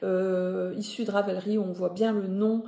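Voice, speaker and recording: A woman's voice: mid-range voice, level tone, normal speed, mellow. A woman from northern France with a Ch'ti accent, hosting a knitting podcast in a casual, hesitant chat full of self-corrections. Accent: Ch'ti (northern French). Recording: echoey room.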